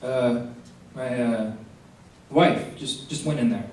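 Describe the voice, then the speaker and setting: An actor playing a nervous, hesitant married man having an affair, in a halting old-time radio drama style. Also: medium pitch, over loud music, close to an everyday voice. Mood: flustered. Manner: stammering, halting. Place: theater stage, live performance. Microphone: stage stand mics, distant camera. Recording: echoey stage from far off, faint noise.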